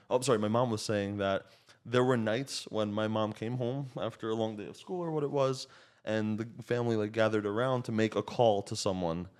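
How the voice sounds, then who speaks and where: A man's voice: on the low side, darkish tone. Man with a slight Slovak accent, storytelling. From child of Slovak parents. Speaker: podcast guest, real estate agent. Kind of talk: casual animated storytelling.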